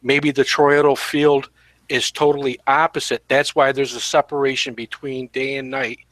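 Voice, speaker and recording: Man, mid-range pitch, measured and musing, dry close sound. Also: level tone, a bit bright, dry recording. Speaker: chat participant. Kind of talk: casual group voice chat.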